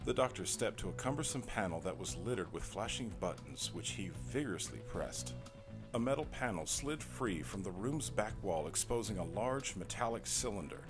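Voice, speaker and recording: A man's voice: lowish voice, expressive, normal speed. A man delivering dramatic scripted narration in a theatrical, mock-serious way. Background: music.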